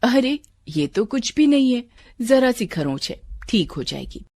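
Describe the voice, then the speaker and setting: A woman's voice: fairly high pitch, sing-song, unhurried, noisy background, voice pushed up. A woman voicing a poor village mother in a storybook tale, tender, anxious and pleading.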